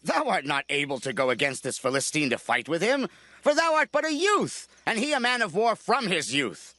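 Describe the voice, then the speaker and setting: King male actor for audio scripture drama, doubtful, sharp tone, dry close sound. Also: high voice, huge pitch swings, normal speed, no echo, full character voice. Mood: doubtful warning. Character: king doubting a young challenger.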